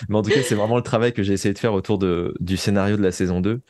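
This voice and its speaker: A man's voice: lowish voice, racing, a little mellow, no room sound, clean studio sound. A man speaking as an interview guest, a writer reflecting earnestly and often searching for his words.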